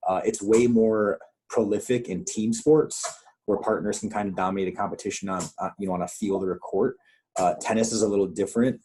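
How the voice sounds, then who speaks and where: A man with a husky voice leading a coaches' conference call, explaining guidelines in an informal, conversational way. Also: on the low side, fairly even intonation, a little fast, warm tone, a little hiss or hum, rough recording. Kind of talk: informal instructional presentation with questions.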